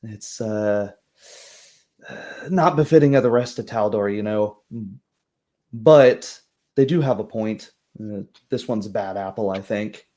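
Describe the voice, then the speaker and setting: A man's voice: fairly low pitch, a little slow, warm tone, natural voice. A man voicing a tall, gaunt male elf farmer in a tabletop game, weary and frank, talking hesitantly with sighs. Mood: frank, exasperated. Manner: sighing, hesitant, candid.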